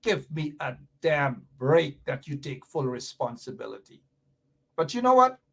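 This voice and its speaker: A man's voice: fairly high pitch, very animated, unhurried, creaky, slightly rough. A Guyanese man hosting a radio talk show, delivering fiery, sarcastic political commentary with mocking repetition.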